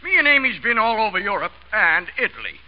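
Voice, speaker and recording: Casting: hearty American frontier buddy, extremely high-pitched voice, rowdy, muffled old radio comedy. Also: male voice, big pitch swings, quick pace. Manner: rowdy, folksy. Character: rowdy, backslapping American Western old pal. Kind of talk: 1930s-40s radio comedy acting. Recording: dull vintage radio broadcast.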